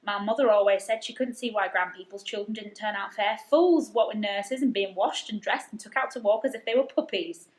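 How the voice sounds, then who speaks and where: A woman's voice: medium pitch, fairly quick, hardly any pauses, reverberant, lightly acted, low-quality recording. A woman voicing a good-natured, frank young Yorkshire housemaid with homely, blunt dialect speech in a storybook reading.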